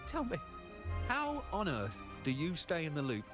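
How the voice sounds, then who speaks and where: A man's voice: fairly high pitch, wildly swooping pitch, a little slow, music in the background. An actor playing a chatty, curious man in a fantasy video game, with an inquisitive, conversational manner.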